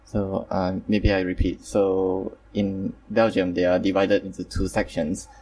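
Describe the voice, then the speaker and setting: A man's voice: low-pitched, level tone, unhurried, warm tone, recorded from a distance, low-quality recording. A man hosting a casual online group chat, talking hesitantly with many fillers and apologies. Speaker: host.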